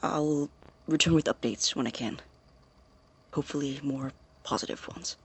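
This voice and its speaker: An actor playing a secretive, obsessive young scientist who records experiment logs in a halting, deliberate, formal way, as in an audio drama. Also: male voice, fairly high pitch, husky. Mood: troubled, secretive. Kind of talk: dramatic audio-diary monologue.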